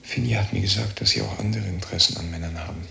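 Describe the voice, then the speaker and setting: A guarded, wistful man in an intimate radio drama, with a very gravelly, low voice. He speaks hesitantly in short phrases, in an echoey room. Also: steady tone, fast, pushed and bright, a little hiss or hum, heavily acted. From East Germany. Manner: hesitant, terse. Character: guarded, wistful East German man newly arrived in the West.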